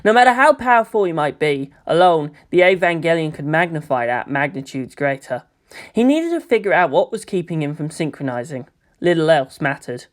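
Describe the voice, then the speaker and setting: A man's voice: on the high side, big pitch swings, moderate pace. A man reading scripted fiction aloud as a storyteller, in the style of an audiobook narrator.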